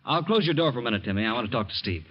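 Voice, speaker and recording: Animated, earnest radio-drama hero played by a man. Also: mid-range voice, very fast, natural voice. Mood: tender, soothing. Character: earnest, caring ex-convict trying to go straight. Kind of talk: old-time radio drama acting. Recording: muffled, faintly noisy old radio recording.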